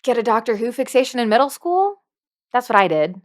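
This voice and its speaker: Lively, high-pitched woman explaining things. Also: brisk. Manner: playful, emphatic, repeats points for effect. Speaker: dungeon master giving tips as video host. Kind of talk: scripted instructional video talk to camera.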